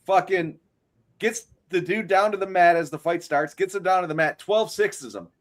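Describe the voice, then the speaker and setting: A man's voice: sits high, moderate pace. A man co-hosting a fight stream, telling a long story and swearing as he stumbles and restarts his words. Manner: rambling, profane, stumbling over words. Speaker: co-host. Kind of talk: casual chat and storytelling.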